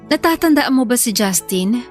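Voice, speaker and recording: A woman playing a soft-hearted young single mother with a hesitant, apologetic manner in an audio drama. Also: on the high side, lively intonation, normal speed, faint music behind, lightly acted.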